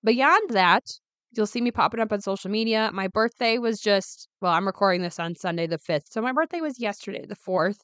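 Raspy-voiced woman chatting on her podcast. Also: mid-range voice, animated, brisk, fairly bright tone, dry recording. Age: 20s. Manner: chatty, upbeat, many ums and asides. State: raspy, recovering voice. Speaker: podcast host. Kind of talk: casual solo podcast chat.

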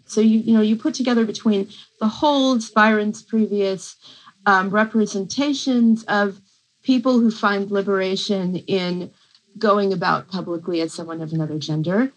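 A woman's voice: mid-range voice, fairly even intonation, rough recording. A woman literature professor speaking as an interview guest, thinking aloud with frequent hesitations and restarts. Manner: thoughtful, halting, many fillers and restarts. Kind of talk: interview talk.

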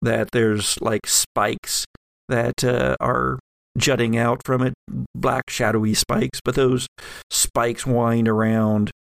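A man acting as game master, narrating a horror tabletop game in a halting, descriptive way. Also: mid-range voice, level tone, gravelly, fairly bright tone.

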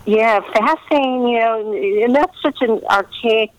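A woman's voice: fairly high pitch, measured pace, dry recording, highs cut off. A woman novelist chatting casually in an interview, talking in a meandering way with trailing asides.

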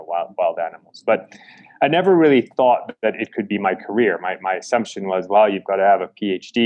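A man from the Chicago area with a low voice answers interview questions haltingly, restarting and filling his pauses often. His audio sounds dry and dull, like a lo-fi remote connection. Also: a little mellow, no room sound. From Chicago, United States. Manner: halting, many restarts and fillers. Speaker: guest, conservation founder.

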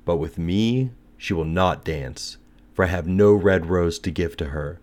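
A man's voice: deep voice, measured pace, darkish tone. A man voicing a lovesick young male student in a theatrical, lamenting storybook manner. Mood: wistful.

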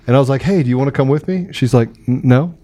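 A man's voice: medium pitch, fast talker, dark tone. A man hosting a casual live talk show, joking and teasing, often repeating himself as he banters.

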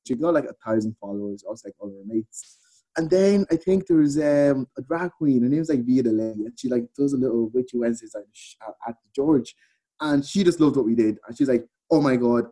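Mellow Irish man telling stories casually. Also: medium pitch, expressive, a little fast, low-quality recording. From Ireland. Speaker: podcast guest. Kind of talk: casual chat, storytelling.

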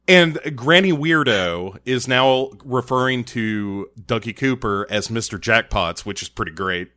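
A man's voice: medium pitch, lively intonation, slow pace, pushed and bright. A man co-hosting a podcast, recapping an episode in casual chat with eager, emphatic talk and stammering restarts. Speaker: podcast co-host.

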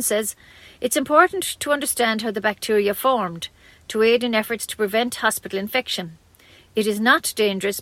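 An Irish woman reads a scripted community radio news bulletin in a fairly high voice. She moves plainly through local items with the odd personal aside. The sound is dry, with little room around her. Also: fairly bright tone, dry recording.

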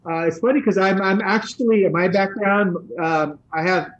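A man's voice: high-pitched, normal speed, muffled recording. A middle-aged man, a Spanish teacher, answering interview questions casually, rambling with many fillers and restarts. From San Francisco area.

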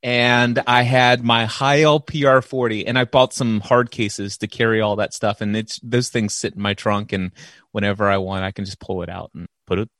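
A man with a loud, powerful voice, a podcast guest who explains his recording gear at length. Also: lowish voice, flat delivery, normal speed, dry recording, clean studio sound. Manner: enthusiastic, explains gear in detail. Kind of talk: casual podcast conversation. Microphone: close dynamic microphone.

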